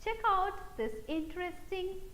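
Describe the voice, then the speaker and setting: A woman's voice: very high-pitched, unhurried, a bit bright. A woman with an Indian accent anchoring a scripted news bulletin. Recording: roomy echo, faint background noise.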